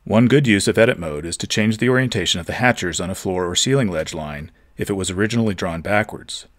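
A man giving a steady, methodical, scripted narration for a software tutorial. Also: low-pitched, fast.

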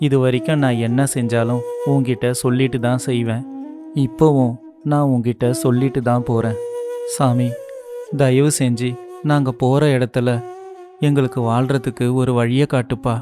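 A man's voice: medium pitch, flat delivery, moderate pace, long pauses, close to an everyday voice. A man playing a poor, humble villager in a storybook cartoon, earnest and devout. His voice sits in the middle range, and flute music runs beneath it. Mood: pleading. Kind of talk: animated storybook voice acting.

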